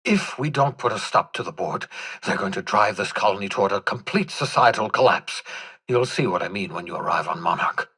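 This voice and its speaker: An older man acting as an embittered, desperate renegade scientist in a video game, with a raspy voice and a theatrical, sarcastic, erudite manner. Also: lowish voice, lively intonation, brisk, sharp tone, exaggerated voice. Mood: urgent.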